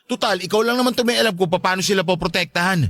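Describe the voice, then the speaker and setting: A man playing a touchy but soft-hearted stepfather in a radio drama, with an emotional delivery. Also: high-pitched, fast, no room sound, clean studio sound. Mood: exasperated, defensive. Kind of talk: Tagalog radio drama acting.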